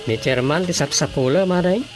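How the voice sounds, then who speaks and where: A man's voice: on the high side, a little fast, over loud music, lightly acted. An actor playing a matter-of-fact village councilman in a radio drama. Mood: businesslike. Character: male village councilman, acting officer in charge. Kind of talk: Ilocano radio drama acting.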